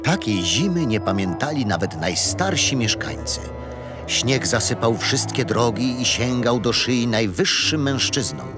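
An actor playing a kindly grandfather who tells his grandchildren bedtime stories gently and expressively in a children's radio play. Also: medium pitch, expressive, measured pace, loud music behind the voice, lightly acted. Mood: solemn storytelling.

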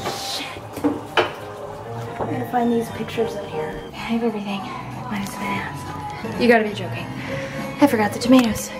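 A woman's voice: mid-range voice, fairly even intonation, normal speed, long pauses, over music. A woman in her twenties, goofing her way through a silly home cooking show in a small kitchen, filmed on a handheld camera. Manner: playful, silly, self-mocking. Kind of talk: goofy mock cooking show. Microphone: handheld camera at arm's length. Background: sixties French pop playing.

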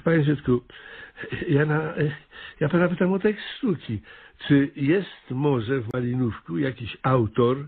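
An actor playing an exasperated, bossy small-town mayor in a radio comedy, stammering and exclaiming with absurd earnestness. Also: male voice, mid-range voice, measured pace, husky, phone-quality sound. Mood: puzzled, insistent. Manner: stammering repetitions, bureaucratic, exclamatory. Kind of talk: radio comedy play acting.

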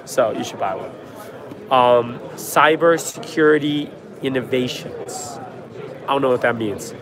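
A young man hosting a casual livestream from a trade show floor, answering chat in a quick, offhand, joking way, his voice worn from a cold. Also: medium pitch. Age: young adult. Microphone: phone held at arm's length. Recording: noisy crowded convention hall. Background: crowd chatter.

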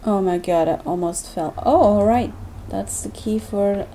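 Mellow-voiced woman narrating a game. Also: mid-range voice, a little slow, a little hiss or hum. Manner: chatty, self-deprecating, thinking aloud. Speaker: let's play gamer. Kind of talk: casual gameplay commentary.

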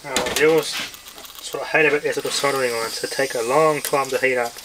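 Noisy handheld camera audio with clicks, recorded indoors. An Australian man gives a casual hands-on demonstration at a middling pitch. He talks offhand as he works, half to himself. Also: steady tone, fast. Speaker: hobbyist video maker. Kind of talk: casual hands-on demonstration, talking while working. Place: indoors at home, working by hand. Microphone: handheld camera microphone.

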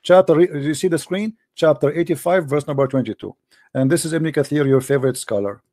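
A man's voice: fairly high pitch, a little fast, warm tone, dry recording, clean studio sound. A man hosting a live religious debate, arguing insistently, often repeating himself and quoting Arabic scripture.

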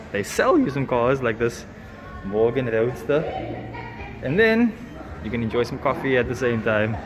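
A young man chatting excitedly to his phone camera in a cafe, with lots of fillers like "like" and "guys". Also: mid-range voice, noisy background. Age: young adult. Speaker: vlogger reviewing a cafe. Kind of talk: casual vlog. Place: indoor cafe with classic cars. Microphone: phone camera at arm's length, no lapel mic. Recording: phone audio with loud background music. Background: loud music playing in the cafe.

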